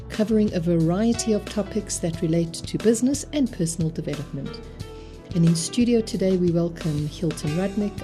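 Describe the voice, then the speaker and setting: A woman hosts a podcast from a studio, reading her introduction in a polished, welcoming way. Her voice sits slightly low for a woman. Plucked guitar music plays clearly under her. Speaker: podcast host. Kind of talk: scripted introduction and interview.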